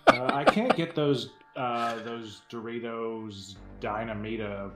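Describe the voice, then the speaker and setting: A man's voice: mid-range voice, slow, over loud music. Dryly wry man in an echoey room. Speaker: podcast co-host. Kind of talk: casual chat. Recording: roomy echo on the voice.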